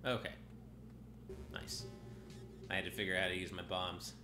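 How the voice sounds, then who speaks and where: A man's voice: low-pitched, flat delivery, moderate pace. A man streaming a video game, chatting casually in a slightly nasal voice and often breaking off mid-sentence as he plays. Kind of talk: casual live-stream gameplay commentary. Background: game music.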